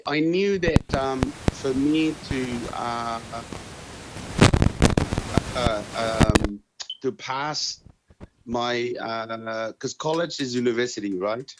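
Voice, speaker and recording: A middle-aged man from Tonga with a Tongan accent, English being his second language, answering interview questions in an earnest, halting way that restarts and repeats phrases. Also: medium pitch, background noise. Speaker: interviewee sharing his university experience. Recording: dull lo-fi recording.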